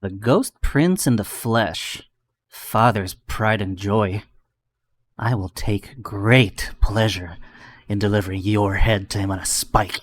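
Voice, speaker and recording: Brief: taunting villain, jealous older brother acted by a man, slow theatrical menace, projected low voice. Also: dry recording. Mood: taunting, menacing.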